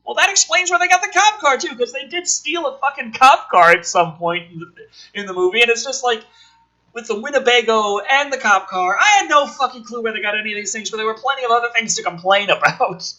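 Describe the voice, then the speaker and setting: A man's voice: very high-pitched, a little fast, low-quality recording. A man reading a film script dramatically as a video host, breaking off for casual, sweary, sardonic commentary. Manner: theatrical, animated delivery.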